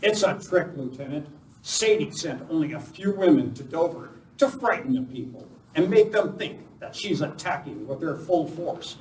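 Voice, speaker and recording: Actor as an urgent, commanding masked western hero, roomy. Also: male voice, fairly high pitch, expressive, moderate pace, a little mellow, lightly acted. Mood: urgent, insistent. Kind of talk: old-time western radio play acting. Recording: roomy far-off live stage recording with noise.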